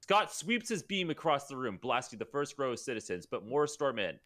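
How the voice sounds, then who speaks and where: A man's voice: medium pitch, sing-song, brisk, fairly bright tone. A man co-hosting a live comedic table read, reading stage directions aloud and stopping to joke. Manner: reads stage directions aloud, breaking off for jokes. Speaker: podcast co-host.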